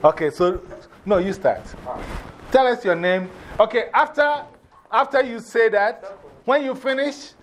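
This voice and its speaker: Male teacher, Ghanaian accent, high voice, directive and repetitive, informal classroom delivery, faint noise behind. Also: a little slow, a bit bright. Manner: directive, repeats instructions. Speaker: teacher of a drumming and English class.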